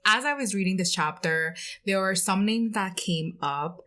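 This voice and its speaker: A woman's voice: mid-range voice, normal speed, fairly bright tone. A woman co-hosting a pop culture podcast in a casual, conversational style. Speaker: podcast co-host.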